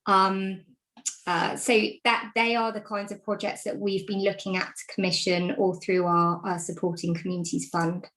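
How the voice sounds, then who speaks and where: A woman gives a funding update over a video call. Her delivery is flat and even, with little rise or fall. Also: medium pitch, normal speed. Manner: even, matter-of-fact. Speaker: funding officer. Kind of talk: update in an online meeting.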